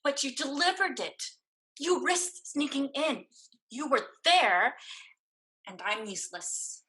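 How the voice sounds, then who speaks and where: A woman's voice: high voice, lively intonation, slow pace, pushed and bright, heavily acted, poor audio. A woman acting in a stage play reading as a devoted, cautious sister who blames herself. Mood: ashamed, downcast. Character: anxious, devoted, limping sister, cautious and self-blaming.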